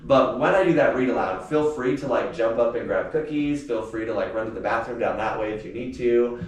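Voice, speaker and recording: A man's voice: mid-range voice, brisk. A man, an author, talking informally and reading his story aloud at a live book event. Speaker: teacher. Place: indoor venue with a small live audience. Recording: very echoey room, distant mic, faint noise.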